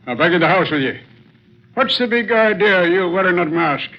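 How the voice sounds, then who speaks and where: An actor playing a gruff, wisecracking American city policeman in old-time radio drama style. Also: high-pitched, expressive, moderate pace. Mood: gruff, sarcastic. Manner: brusque, slangy, wisecracking. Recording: dull lo-fi vintage radio recording.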